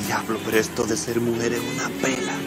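An actor playing a grumbling, reluctant amateur detective, complaining in a Dominican accent. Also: male voice, medium pitch, little pitch movement, fairly quick, close to an everyday voice. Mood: exasperated. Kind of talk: comedy film acting. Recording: music behind the dialogue.

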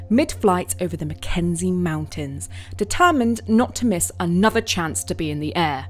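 An actress playing an earnest young rescue pilot, narrating her monologue in an audio play with deliberate, expressive storytelling. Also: fairly low pitch.

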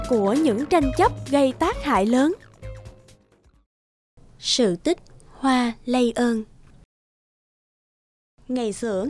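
A woman reading scripted fairy-tale narration as a storyteller. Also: sits high. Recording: music behind the voice. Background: music.

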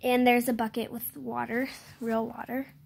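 A woman's voice: fairly high pitch, little pitch movement, moderate pace, gravelly, a little mellow, a little hiss or hum. A young woman or girl filming on a handheld phone indoors, giving an unscripted show-and-tell tour of a toy farm, hesitant, with many ums and self-corrections. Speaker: channel host giving a toy barn tour. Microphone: handheld phone filming close.

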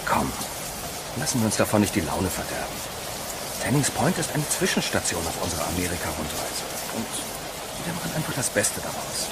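Actor as a lively, easygoing young traveller. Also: male voice, low voice, brisk, very noisy. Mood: upbeat, explaining. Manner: breezy, casual, expressive. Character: easygoing young male traveller, reassuring boyfriend. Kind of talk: German horror audio drama acting.

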